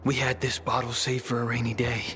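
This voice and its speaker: A man's voice: mid-range voice, monotone, a little fast, a bit bright, a little hiss or hum, close to an everyday voice. A man acting a hot-tempered, resentful prisoner in a video game cutscene, accusing and foul-mouthed. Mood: glum. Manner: agitated, accusing, profane outbursts. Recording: music behind the voice.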